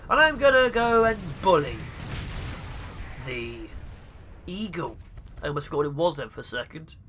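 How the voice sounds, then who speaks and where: A young man with the flu, sounding sniffly, giving excitable let's play commentary. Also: high-pitched, a little hiss or hum. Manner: excitable, mutters at enemy ships. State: sniffly with flu, sore throat. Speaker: gaming YouTuber.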